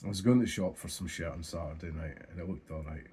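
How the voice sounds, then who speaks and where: A man's voice: low voice, fast talker, warm tone, slightly rough. A Scottish man vlogging about his fishing, chatting casually with lots of swearing and ums, his voice stuffy and nasal from a blocked nose. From Scotland. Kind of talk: casual chat to camera.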